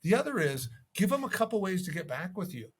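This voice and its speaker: Husky, higher-voiced man coaching. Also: big pitch swings, fast talker. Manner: conversational, acts out sample calls. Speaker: real estate sales coach. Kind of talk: instructional video talk with sales tips.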